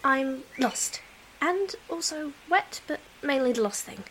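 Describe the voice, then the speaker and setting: A woman's voice: high-pitched, animated, a little slow, a bit bright, a little hiss or hum, poor audio. A woman playing a kindly, playful halfling adventurer in a fantasy audio drama. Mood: sheepish.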